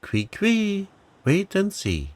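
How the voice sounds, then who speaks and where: A man voicing a small, kindly, helpful mouse in a storybook reading, speaking chirpily and briskly. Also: high-pitched, wildly swooping pitch, no echo, voice pushed up, heavily acted. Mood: eager.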